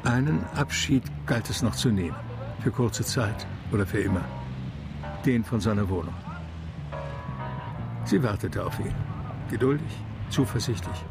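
A man's voice: lowish voice, expressive, measured pace, vocal fry, long pauses, loud music behind the voice. A man narrating literary prose for a radio drama in a scripted style.

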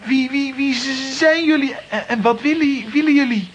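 Woman as an earnest, high-voiced young boy hero. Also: normal speed, lo-fi sound, slight background noise, natural voice. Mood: alarmed. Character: young comic-strip boy hero, cautious but decisive. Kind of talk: children's audio drama acting.

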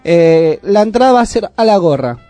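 A man with an Argentine accent hosting a casual community radio show, chatty and full of "eh" pauses. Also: high-pitched, sing-song, dark tone, no room sound, soft background music. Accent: Argentine Spanish. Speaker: radio host. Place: radio studio.

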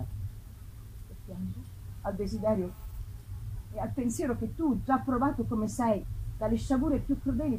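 A woman's voice: medium pitch, mellow, slight background noise, close to an everyday voice, low-quality recording. A woman voicing a devoted young woman's tender love letter in a dramatic reading of a gothic novel. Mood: anguished, pleading.

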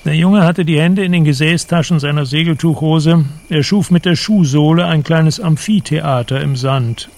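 A man narrating a literary radio drama in a measured, descriptive manner. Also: a little high, darkish tone.

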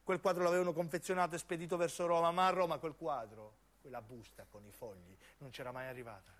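A man narrates as a stage actor, in intense, deliberate phrases of dramatic radio storytelling. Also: fairly high pitch, steady tone, a bit bright. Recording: piano music under the voice. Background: piano and keyboard music.

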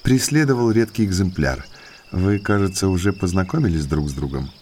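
A man's voice: low-pitched, expressive, fairly quick, a little mellow, a little hiss or hum. An actor playing an eager, educated naturalist in an audio drama, outwardly affable, genteel and chatty as he explains things. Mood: politely probing.

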